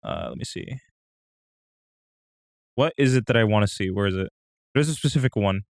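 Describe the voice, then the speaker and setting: A man's voice: on the low side, moderate pace, no room sound, studio quality. A man hosting a live stream with casual chat, rambling and repeating himself as he talks straight to his viewers. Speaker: livestream host.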